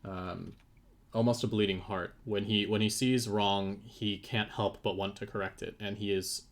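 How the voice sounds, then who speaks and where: A man's voice: on the low side, fairly even intonation, normal speed. A man who works as a 3D modeller, explaining things in a thoughtful, hesitant way on a casual live stream. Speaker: game developer and 3D modeller.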